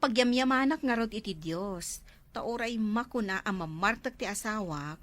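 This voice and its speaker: A woman's voice: medium pitch, animated, normal speed, a bit bright, lightly acted. A woman playing a proud, nagging village housewife in a comedy drama, speaking with an Ilocano accent.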